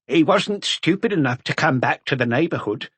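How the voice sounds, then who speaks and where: A man's voice: a little high, lively intonation, moderate pace, a bit bright, breathy edge, no echo, voice pushed up. A man voicing a thin artisan in an audiobook, a plain-spoken bar regular with a frank, dismissive manner.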